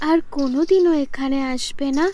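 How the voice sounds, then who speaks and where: A woman's voice: high voice, normal speed, mellow, lightly acted. A woman voicing a wistful, shy little village girl in a cartoon drama. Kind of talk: Bengali cartoon drama acting.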